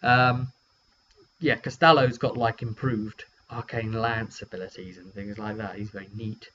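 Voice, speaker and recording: A man's voice: on the low side, unhurried. A British man rambling hesitantly and chattily through a casual, unscripted recap of a tabletop game session, as its game master. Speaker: game master and vidcast host. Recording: dull lo-fi recording with steady hum.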